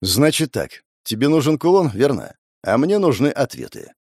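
Audiobook antihero, voiced by a male narrator: young demon summoner, scornful and commanding, slightly rough tone, dry sound. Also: medium pitch, very animated, normal speed, darkish tone, no room sound. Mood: coolly threatening. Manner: contemptuous, commanding, sighing.

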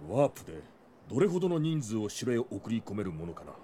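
An actor playing a stern, devoted older knight commander in a fantasy audio drama, speaking in formal, archaic phrases. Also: male voice, on the low side, very animated, fast talker, warm tone, a little hiss or hum. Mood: grave, resolute.

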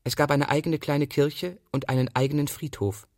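A man reading a literary text aloud as scripted narration, in a measured and precise manner. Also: on the high side, fairly even intonation, darkish tone, dry recording, studio quality. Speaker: reader of literary prose.

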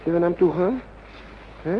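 An actor playing a kindly, worried uncle in a wartime radio play, talking to children in a soothing, coaxing, hesitant way. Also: male voice, high voice, quick pace, natural voice. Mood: concerned, coaxing. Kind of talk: Dutch radio play acting. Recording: muffled, noisy old radio recording.

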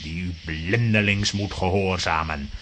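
An actor playing a grave fortune-telling astrologer in a children's audio play, ceremonious and portentous. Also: male voice, low voice, unhurried, a bit bright, slight background noise. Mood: solemn.

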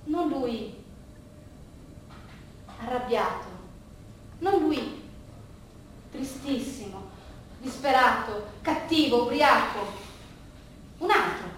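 A woman with a high voice tells a story as a theatrical monologue. She phrases emphatically, leaning on repetition and dramatic pauses. The recording is heavily reverberant and slightly distant, with faint noise. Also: lively intonation, fairly bright tone. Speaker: stage monologue performer and narrator. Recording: echoey room, distant mic, faint noise.

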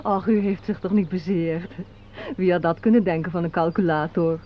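An actress playing an excitable, fussy receptionist who chatters and exclaims theatrically in a radio play. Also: female voice, lowish voice, moderate pace, lightly acted. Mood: fussing, teasing. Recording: dull, noisy old radio recording.

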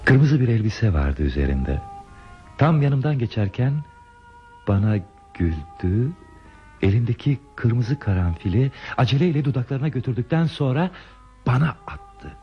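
A man's voice: medium pitch, very animated, a little slow. An actor playing a bashful, wistful man who recalls a lost youthful love in a hesitant, reflective radio drama style. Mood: nostalgic. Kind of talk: radio theatre acting. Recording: dull radio drama recording with music.

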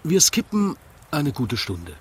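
Unhurried Austrian man narrating in verse. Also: on the high side, wildly swooping pitch, husky. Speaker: narrator of a radio play. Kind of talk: scripted rhyming verse narration, storytelling.

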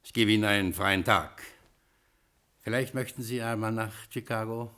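Pompous lord: very low voice, formal, anxious and gullible, vintage radio drama. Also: male voice, steady tone, normal speed, pushed and bright. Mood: worried, dignified. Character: stiff, gullible, anxious gentleman lord, master of house. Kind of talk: 1960s German radio play acting.

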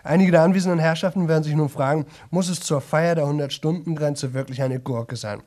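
A man's voice: a little high, a little fast, a little mellow, lightly acted. An actor playing a boastful young man who talks nonstop to an audience in a radio play, chatty, rambling and repetitive. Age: young adult.

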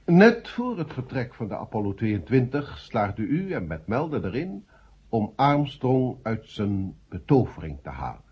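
An actor playing a pompous, sardonic committee chairman, husky and oratorical, in an old radio drama. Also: medium pitch, huge pitch swings, unhurried, heavily acted. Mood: stern, formal. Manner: oratorical, emphatic. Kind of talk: 1970 radio drama speech. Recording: dull old radio recording.

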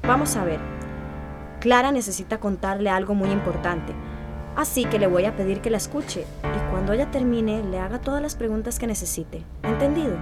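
Woman playing a calm, firm Costa Rican doctor. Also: medium pitch, moderate pace, music in the background, natural voice. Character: calm, firm female doctor counseling a family. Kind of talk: radio drama acting.

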